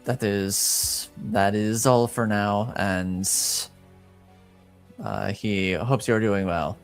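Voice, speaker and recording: A man narrating as game master, improvising in measured, halting phrases. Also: on the low side, fairly even intonation, faint music behind.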